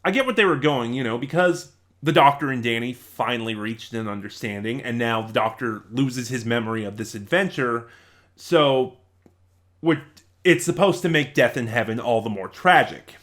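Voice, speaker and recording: A man's voice: mid-range voice, moderate pace, a bit bright. Male reviewer, mid-range voice, casual and conversational, loosely rambling delivery with self-corrections. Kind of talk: casual spoken audio drama review.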